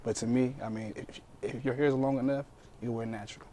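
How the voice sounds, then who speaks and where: Male, mid twenties, monotone and halting casual guest talk, slight room noise. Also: medium pitch. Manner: hesitant, repetitive, trailing off. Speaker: panel guest. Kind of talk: casual chat. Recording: faint background noise, room ambience.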